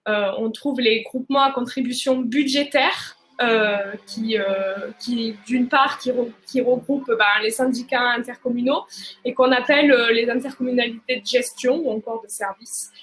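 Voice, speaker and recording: A woman hosting a live online conference, presenting semi-formally and hesitating often with filled pauses mid-sentence. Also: a little high, fairly even intonation, a bit bright, rough recording. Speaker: conference host.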